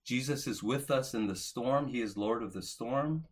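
A man in his forties preaching a sermon as a pastor, earnest, lifting to emphatic peaks and then dropping soft at the ends of phrases. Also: mid-range voice, normal speed, breathy edge. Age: 40s.

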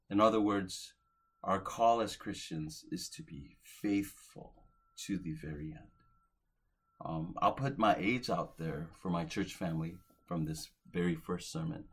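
A man in his forties preaching, his voice low and husky. He speaks earnestly, rising to emphatic peaks and then falling soft and quiet at the ends of his phrases. The delivery is a pastor's steady sermon. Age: 40s.

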